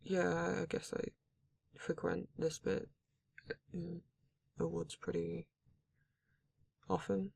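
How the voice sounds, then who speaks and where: A performer voicing a grumpy, reluctant werewolf in an ASMR roleplay, exasperated and halting, with a husky, gravelly voice. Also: medium pitch, level tone, a little mellow, no echo, heavily acted. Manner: exasperated, sighing, swearing, halting delivery. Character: grumpy, reluctant werewolf, guarded but not cruel. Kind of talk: ASMR roleplay audio drama, intimate monologue.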